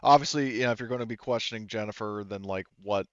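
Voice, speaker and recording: A man's voice: on the low side, quick pace, clear, resonant tone, dry recording, lo-fi sound. A man speaking casually and informally as he referees a tabletop roleplaying game. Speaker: game master.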